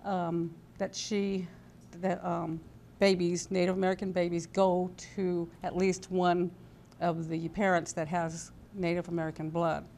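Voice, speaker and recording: A woman in her sixties telling a personal story as an interview guest, halting and conversational. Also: on the low side, level tone, gravelly, darkish tone. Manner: halting, conversational, voices quoted dialogue. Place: indoor TV studio-style set at a convention. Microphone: lapel mic. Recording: background noise and room ambience.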